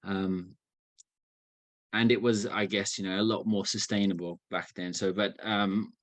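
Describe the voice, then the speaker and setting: A young man from England giving an informal talk over a video call, relaxed, with many fillers and restarts. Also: low voice, measured pace, dry recording. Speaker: guest speaker. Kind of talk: informal online presentation.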